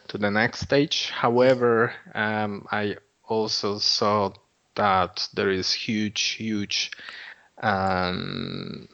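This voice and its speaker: A man speaks slowly and haltingly in an interview. He keeps restarting his phrases, and his voice sits fairly low. The audio is dull and lo-fi. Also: fairly bright tone. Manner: hesitant, halting, many fillers and repeated words. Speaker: nonprofit founder, guest. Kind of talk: interview answers.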